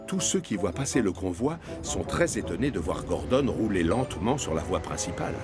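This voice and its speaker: A man's voice: low-pitched, sing-song, brisk, music in the background. A man narrating a scripted children's cartoon story as the storyteller.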